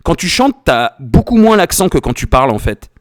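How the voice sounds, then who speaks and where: A man's voice: on the high side, big pitch swings, a little fast, dry recording, studio quality. Upbeat male radio host. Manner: upbeat, filler-heavy, hesitant with many "euh". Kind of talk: radio interview. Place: web radio studio.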